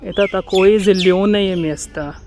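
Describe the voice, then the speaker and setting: A man's voice: high voice, fairly even intonation, unhurried, darkish tone, a little hiss or hum. A man from India, narrating a walking tour outdoors in a lakeside park, careful and dreamy, often correcting himself. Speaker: travel vlogger.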